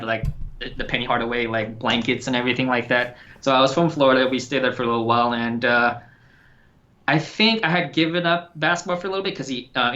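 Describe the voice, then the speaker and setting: A man co-hosts a podcast, chatting loosely and rambling through fillers and restarts. His voice is bright and sharp, heard through a somewhat dull, lo-fi recording. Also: mid-range voice. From Thailand. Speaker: podcast co-host. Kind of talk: casual chat.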